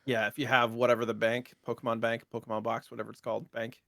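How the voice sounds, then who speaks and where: A man's voice: mid-range voice, flat delivery, fast, vocal fry, pushed and bright, no room sound, studio quality. A man co-hosting a podcast in casual chat, joking and playing along. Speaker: podcast co-host.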